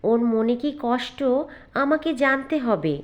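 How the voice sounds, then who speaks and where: High, gentle water fairy voiced by a woman. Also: sing-song, measured pace, dull audio. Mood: kindly. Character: kind, gentle female water fairy granting wishes. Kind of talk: cartoon fairy tale voice acting.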